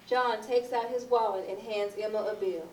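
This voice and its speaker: A woman's voice: medium pitch, fairly even intonation, moderate pace, darkish tone. A woman narrator reading stage directions as scripted narration for a theatre reading. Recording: echoey, distant, noisy stage recording.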